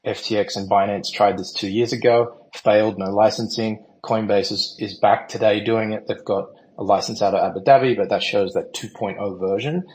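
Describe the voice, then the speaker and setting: A man's voice: on the low side, fairly even intonation, normal speed, hardly any pauses, a little mellow, rough recording. A man speaking as a guest on an online panel, explaining fluently and with assurance. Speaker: panel guest, company founder. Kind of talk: online audio panel discussion.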